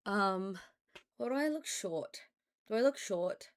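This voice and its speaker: A woman streamer with a hoarse, raspy voice, recovering from losing it, chatting casually with her live chat and greeting viewers by name. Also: medium pitch, lively intonation, measured pace. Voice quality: raspy, slightly gravelly.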